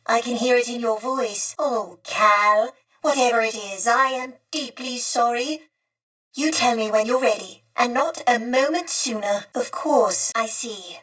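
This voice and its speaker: Prim polite lady droid for a video game, really high voice, warm n formal, bit distant from mic. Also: a little slow. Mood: gentle sympathy. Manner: prim, formal, courteous diction. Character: polite, warm, old-fashioned female droid, wistful and earnest. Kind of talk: video game character acting.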